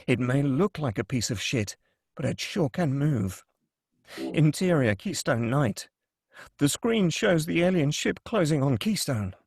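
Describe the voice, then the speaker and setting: Script narrator, male, wry stage directions, dead dry sound, slightly high voice. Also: expressive, measured pace, vocal fry, darkish tone, husky, no room sound. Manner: wry, reads directions with asides. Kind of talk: table read of a screenplay.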